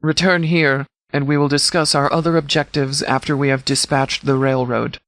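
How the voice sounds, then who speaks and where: An actor playing a stern, calculating sheriff who commands a post-apocalyptic militia in a video game, speaking in a formal, measured, authoritative way. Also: male voice, a little high, flat delivery, a bit bright, slight background noise. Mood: businesslike, measured. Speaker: sheriff and faction leader. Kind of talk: video game dialogue, briefing.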